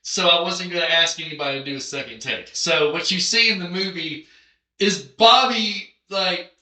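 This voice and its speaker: A man hosting a podcast and interviewing a guest, telling stories in an animated way and jumping up in pitch as he acts out moments. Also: moderate pace, far from the mic. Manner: animated storyteller, jumps up in pitch when acting out moments. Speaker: podcast host and film director. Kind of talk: casual interview chat. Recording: echoey room, a bit off mic.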